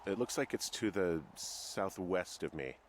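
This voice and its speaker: A lowish voice with faint noise behind it: a laid-back, middle-aged American man working as a fire lookout. He speaks in a dry, understated, slightly hesitant way, as in a story-driven video game. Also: expressive, a little slow. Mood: observant. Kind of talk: naturalistic video game dialogue.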